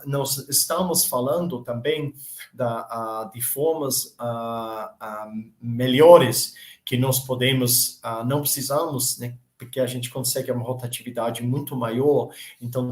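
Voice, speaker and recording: A man with a Brazilian Portuguese accent giving a live panel presentation with slides. He pauses often and repeats words, with many fillers. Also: medium pitch. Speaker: panel speaker. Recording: echoey room.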